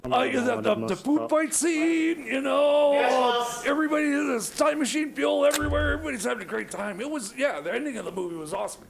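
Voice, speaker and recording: A man puts on a muddled radio comedy movie critic with an extremely high, gravelly voice. He stammers and halts, always flustered, as he rambles. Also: brisk, few pauses, over a noisy crowd. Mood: excitable. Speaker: comic sidekick film critic. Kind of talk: improvised comedy radio theater.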